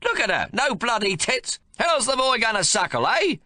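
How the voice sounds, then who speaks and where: Guy doing a loud coarse old grandpa, bossy and crude, pitch jumping all over. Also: big pitch swings, normal speed, dry recording, studio quality, full character voice. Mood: bullying. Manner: coarse, bellowing, bullying.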